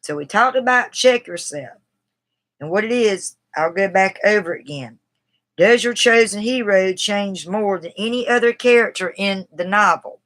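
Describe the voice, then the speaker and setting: A woman's voice: mid-range voice, normal speed, a bit bright. A woman from the Southern US with a Southern accent, talking casually on a livestream about a writing book, rambling and greeting viewers by name. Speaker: writer discussing a book on a livestream. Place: outdoors.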